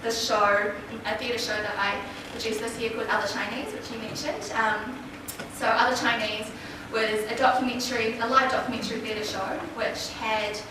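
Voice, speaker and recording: A woman with a slightly high voice speaks in a thoughtful, halting way, with many pauses. She is well off the mic in a large, echoing hall. Background noise stays clearly audible. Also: fairly even intonation. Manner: hesitant, many ums, thoughtful. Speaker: theatre producer and panel speaker. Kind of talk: informal forum talk. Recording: echoey hall from far off, noisy.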